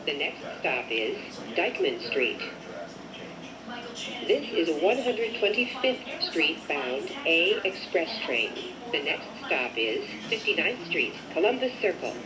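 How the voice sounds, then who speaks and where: Woman doing recorded train stop announcements, slow n measured, very up and down melody. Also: low voice, very animated, crowd noise, low-quality recording. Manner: measured, formulaic announcing in short separate phrases. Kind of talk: recorded transit announcement, scripted.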